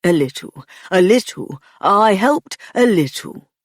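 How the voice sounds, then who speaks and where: A woman voicing a short, effusive male head cook who speaks in a soothing, flattering way. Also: medium pitch, slow, dry recording, clean studio sound.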